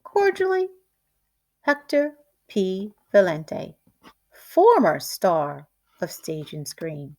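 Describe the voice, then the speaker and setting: A woman's voice: mid-range voice, wildly swooping pitch, very slow, pauses often, darkish tone, exaggerated voice. A woman voicing a pompous, insistent male stage performer in a storybook, with grandiose, theatrical declaiming. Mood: formal.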